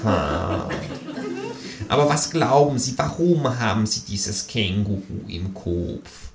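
A detached male psychiatrist, quiet and flat, letting out dry little noises of acknowledgment, as a man puts him on. The room around him is roomy, with an audience audible behind. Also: fairly low pitch, takes it slowly, crowd noise.

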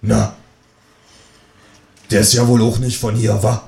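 A man's voice: fairly low pitch, flat delivery, unhurried, creaky, warm tone, reverberant. A man voicing a grumbling, suspicious, bigoted old woman neighbor in a story reading.

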